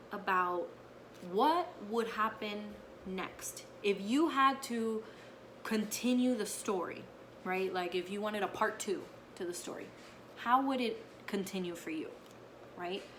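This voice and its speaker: Woman reading to children, noisy recording. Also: medium pitch. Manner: frequent checking tags like okay and right. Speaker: teacher reading a picture book aloud to her pupils. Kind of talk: casual read-aloud with explanations to children.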